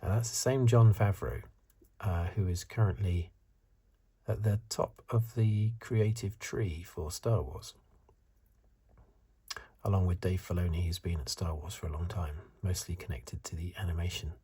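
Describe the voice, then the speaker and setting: Very hushed, breathy low man. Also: fairly even intonation. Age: 50s. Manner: hesitant, halting, unscripted musing. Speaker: host of an ASMR video channel. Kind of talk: soft-spoken whispery ASMR commentary.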